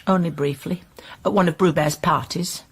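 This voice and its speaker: Husky, resentful reluctant informant woman. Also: lowish voice, fairly even intonation, normal speed. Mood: guarded disdain. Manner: clipped, guarded, scornful. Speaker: actress in a radio crime drama. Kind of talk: radio drama acting.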